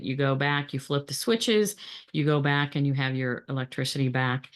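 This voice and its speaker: A middle-aged woman gives a training presentation on supply chain methods, speaking plainly with frequent fillers and restarts. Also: sits low.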